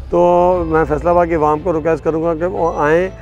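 A middle-aged Pakistani groundsman with a slightly high voice, sitting out on the field for a sports documentary interview, music playing underneath. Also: level tone, a little fast, dull audio. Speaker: stadium caretaker being interviewed. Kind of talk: informal interview to camera. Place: outdoors on a cricket stadium field. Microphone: lapel mic. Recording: music behind the voice.

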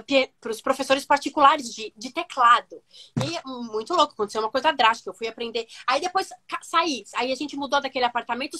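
A woman, telling stories on a video call from a room at home, animated and full of fillers. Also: high-pitched. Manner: animated, gestures a lot, many fillers. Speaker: music teacher guest. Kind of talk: casual storytelling interview.

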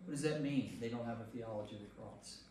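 A man's voice: mid-range voice, fast talker, crowd noise. American guy pastor leading a group discussion, conversational, very far from the mic in a really echoey room. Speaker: pastor leading a Bible study. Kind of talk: informal group teaching and discussion. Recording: echoey room, distant mic, faint noise.

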